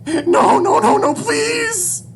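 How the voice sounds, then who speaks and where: A man voicing a distraught young male furry animal, pleading desperately in a shrill, strained voice in a dramatic story. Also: slow, mellow, a little hiss or hum, close to an everyday voice. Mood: frantic pleading.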